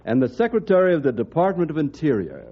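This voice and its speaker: An actor playing a genial, authoritative older colonel with a measured, formal manner in radio drama. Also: male voice, a little high, wildly swooping pitch, breathy edge, heavily acted. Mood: genial, official. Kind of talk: old time radio drama acting. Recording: dull old-time radio recording.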